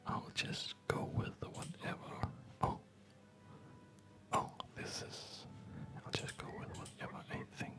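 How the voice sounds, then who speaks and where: Whispering man, slow ASMR game commentary. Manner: hushed, unhurried, trailing pauses. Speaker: host of an ASMR gaming channel. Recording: music running behind the whisper. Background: game music.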